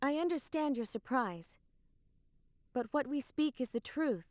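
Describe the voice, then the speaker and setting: An actress playing a self-possessed, analytical space traveler in a video game, formal and composed. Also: female voice, high voice, expressive, unhurried, a little mellow, no room sound. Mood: composed, polite. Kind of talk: scripted video game voice acting.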